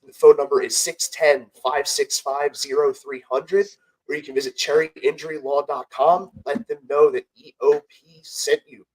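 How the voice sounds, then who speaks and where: A young man with a slightly high voice, a bit stuffed up and nasal from a cold. He co-hosts a relaxed sports podcast and rambles through the talk and the ad reads. He stops to correct himself and cracks jokes as he goes. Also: moderate pace, husky, rough recording. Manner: rambling, self-correcting, joking. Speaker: podcast co-host.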